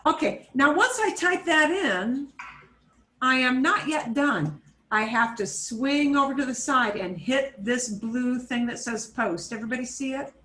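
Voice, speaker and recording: A woman teaching a Spanish class over a video call, switching between Spanish and English and repeating phrases slowly. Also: high voice, sharp tone, reverberant. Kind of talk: online class instruction.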